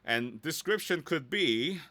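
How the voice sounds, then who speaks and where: A man's voice: fairly high pitch, lively intonation, takes it slowly, sharp tone. A man leading a casual screen-share tutorial in a playful, chatty way, tossing in silly interjections, recorded on a close studio microphone. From Korea or Korean heritage, based in Los Angeles. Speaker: voice actor leading a website tutorial. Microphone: close studio microphone in a dry home studio.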